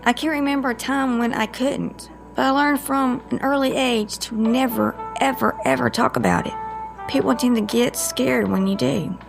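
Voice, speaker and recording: A woman's voice: a little high, lively intonation, normal speed, gravelly, over music. An actress playing a wry, guarded psychic woman in an audio drama, with a dry, teasing, sarcastic manner. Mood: candid. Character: wry, guarded psychic woman who teases her old friend. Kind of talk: scripted audio drama dialogue.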